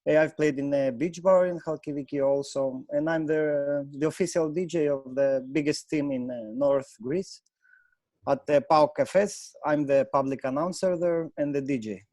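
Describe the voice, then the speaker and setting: Man, from Russia, warm dark voice, dry close sound, halting english, video call. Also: fairly high pitch, no echo. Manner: relaxed, halting, non-native English. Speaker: resident club DJ, panel guest. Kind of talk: casual video call chat. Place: small room indoors.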